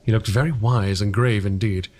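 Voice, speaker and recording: A man reading fiction aloud as a dramatic audiobook narrator, deliberate and expressive, with the occasional stumble of a single take. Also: fairly low pitch.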